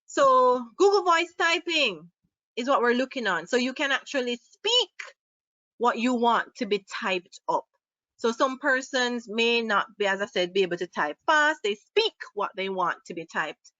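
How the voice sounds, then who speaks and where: A woman with a Jamaican accent leads a live online tutorial, upbeat and full of questions for her viewers. Her voice is bright and on the high side. It comes through a laptop's built-in mic, close and a little muffled. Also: lively intonation, unhurried, dry recording. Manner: upbeat, asks the audience questions. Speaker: online workshop presenter. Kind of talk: live screen-share tutorial.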